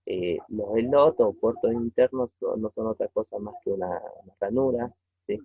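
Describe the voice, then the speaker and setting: Through a tinny, phone-like recording, a man with a low, husky voice gives an informal lesson. He explains step by step as a teacher, pausing haltingly and checking in with short questions. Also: no room sound, faint music behind. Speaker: technology teacher. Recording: tinny phone-like narrow-band audio.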